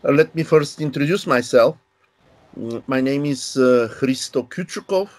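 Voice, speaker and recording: A man in his sixties from Bulgaria, a linguistics professor explaining history in measured, unhurried interview answers over a video call from a home study. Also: mid-range voice. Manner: measured, unhurried, with pauses and fillers.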